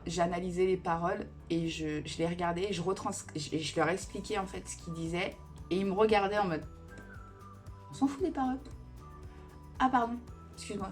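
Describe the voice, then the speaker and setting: Casual beauty vlogger, woman in her thirties, lowish voice, chatty, rambles with fillers, faint music behind. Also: a little mellow. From Italian family roots. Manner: chatty and digressive, with many fillers. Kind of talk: casual Q&A chat.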